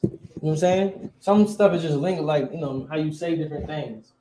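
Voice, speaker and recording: A man's voice: on the high side, normal speed, warm tone, roomy sound, a little hiss or hum, low-quality recording. A man teaching a class, quizzing his students and repeating key words.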